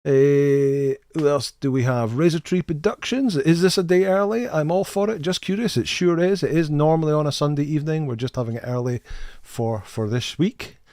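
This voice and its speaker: A man's voice: on the high side, moderate pace, mellow, no echo. Friendly guy chatting on a game stream, stumbles on first words, voice sounds dry and close. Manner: chatty, friendly, repeats words when starting sentences. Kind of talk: casual live stream chat, reading chat names.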